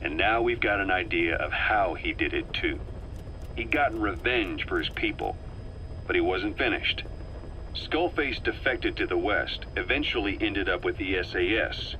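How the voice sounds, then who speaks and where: Grave, sharp-voiced intelligence operative. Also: male voice, sits low, slight background noise, rough recording. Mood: grave, measured. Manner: measured, deliberate, serious. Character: grave middle-aged male intelligence operative briefing a soldier. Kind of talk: dramatic scripted briefing narration.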